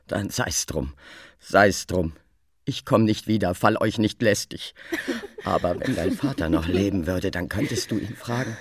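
A man's voice: mid-range voice, breathy edge. An actor playing a plaintive, self-pitying mother in a radio play, whining and drawing out the laments. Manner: whining, drawn-out laments, swooping between wail and mutter. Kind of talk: German radio play acting.

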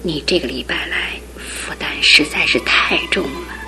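Soft-spoken older aunt. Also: female voice, low-pitched, moderate pace, gravelly. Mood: tender. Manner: soft, tender, half-whispered. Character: gentle aging aunt who raised her niece. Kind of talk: 1970s radio drama acting. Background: music.

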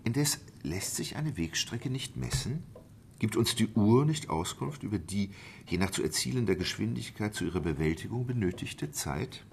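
A low male voice with lively inflection, an unflappable English gentleman in a radio drama. He speaks formally and precisely, measured and matter-of-fact. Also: gravelly, slight background noise. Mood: dryly assured. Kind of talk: German radio play acting.

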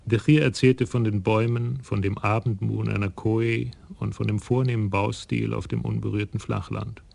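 Flat, gravelly male radio-play narrator. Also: fairly low pitch. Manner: even, measured storytelling.

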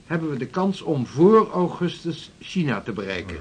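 An actor playing an earnest, practical seafaring mapmaker in a historical radio drama, explaining things emphatically. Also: male voice, fairly high pitch, very animated, normal speed, slightly rough. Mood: earnest, persuasive.

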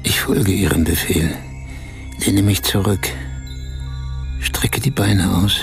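An actor playing a man in a radio play who confides a strange, embarrassing adventure in short, halting phrases. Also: deep voice, fairly even intonation, vocal fry, soft background music, full character voice. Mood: embarrassed, confiding. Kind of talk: radio play, intimate first-person storytelling.

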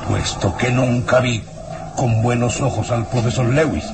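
A man playing a haughty, sinister, imperious baron in an old radio serial, with pompous and menacing drawn-out phrasing. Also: mid-range voice. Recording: noisy old radio drama recording.

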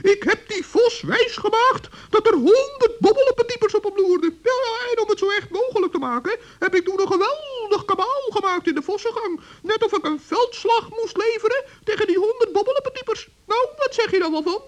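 A man voicing a boastful, naive little forest gnome with a squeaky, chattering voice in a children's radio play. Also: expressive, fairly quick, few pauses. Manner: chattering, stammering when flustered.

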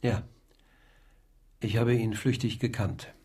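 An actor playing a sober, learned doctor with a measured, clinical manner in a historical radio crime drama. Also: male voice, lowish voice, steady tone, mellow, husky. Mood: grave, measured. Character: sober, learned male doctor in old Paris.

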